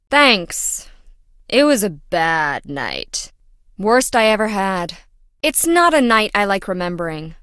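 A woman's voice: on the high side, sharp tone, no echo, studio quality. A woman playing a quirky, dramatic young female lab worker in a video game, chatty, wry and theatrical. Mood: subdued, troubled.

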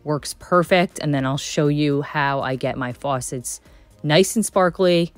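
A low-pitched woman in her thirties chats through her cleaning routine with easy enthusiasm. Her voice-over sounds dry and close, and faint music plays underneath. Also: measured pace, no echo. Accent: Texan. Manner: chatty and enthusiastic, says "like" often. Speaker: cleaning vlogger. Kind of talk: casual voice-over narration.

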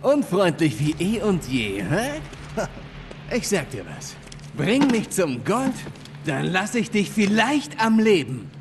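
An actor playing a swaggering, volatile pirate gang boss, taunting and coarse, in a cinematic video game. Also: male voice, sits high, wildly swooping pitch, slow, background noise, exaggerated voice. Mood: mocking menace. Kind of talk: cinematic video game villain acting, German dub.

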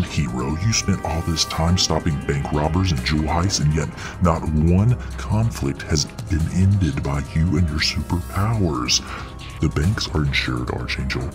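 A man playing a huge, dominant, contemptuous supervillain in an ASMR audio role-play, with a very deep voice and deliberate, taunting delivery. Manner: deliberate, taunting, theatrical monologuing. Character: huge muscle-bound male supervillain, dominant, contemptuous, righteous.

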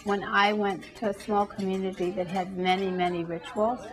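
A woman's voice: mid-range voice, little pitch movement, normal speed, soft background music. A middle-aged woman artist giving an interview in a classroom, speaking earnestly and reflectively. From United States. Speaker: artist and foundation founder.

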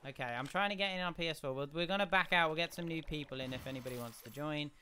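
A young man hosting a casual game livestream. He is chatty and rambling, with a slightly croaky voice. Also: on the high side, quick pace, bright, forceful tone. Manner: chatty, rambling, often restarts and hums. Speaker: gaming livestream host. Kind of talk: casual live commentary. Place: home room. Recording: audible background noise.

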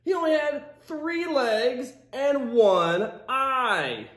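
Animated man reading aloud, roomy sound. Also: extremely high pitch, extremely slow. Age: 30s. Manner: theatrical, exaggerated, playful asides to children. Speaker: children's storytime host, teacher. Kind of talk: animated picture-book read-aloud for kids. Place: classroom. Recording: roomy echo of a classroom.

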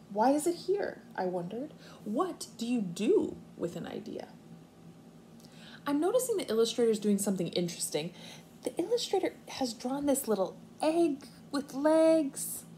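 A young woman, a kindergarten teacher, reading aloud expressively and explaining things simply and deliberately to small children. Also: on the high side, a little mellow. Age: young adult. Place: living room at home, indoors. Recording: faint room noise, home video.

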